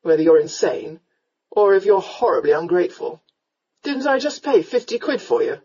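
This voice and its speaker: A woman voicing a drunken, roguish Regency gentleman in an audiobook, with a slurred, rambling, drawling delivery. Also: high voice, sing-song, moderate pace, breathy edge, muffled recording, full character voice. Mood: rueful, teasing.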